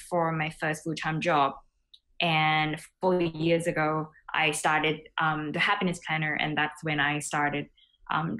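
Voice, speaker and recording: Young female founder from Thailand, lower voice, casual guest on a video call. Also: a bit bright, rough recording. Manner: casual, many fillers like "um" and "you know". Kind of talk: interview answers.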